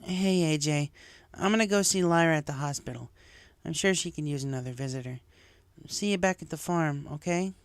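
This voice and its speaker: A man's voice: a little high, normal speed, smooth, no echo, pitched above the performer's own voice, lightly acted. Man as a subdued young tomboy pony. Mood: concerned, casual. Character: young tomboyish female pony, upset and guarded.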